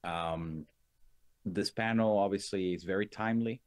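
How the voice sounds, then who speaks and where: A man moderating a webinar panel, introducing speakers in a measured way with occasional fillers. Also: low voice, dry recording, studio quality. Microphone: video call.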